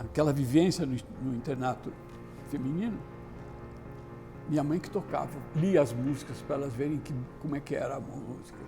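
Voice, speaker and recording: A Brazilian man recalling memories in an interview, unhurried and reflective, pausing as he goes. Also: fairly high pitch. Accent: Brazilian Portuguese. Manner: unhurried, reflective, with pauses and restarts. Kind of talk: reminiscing testimony.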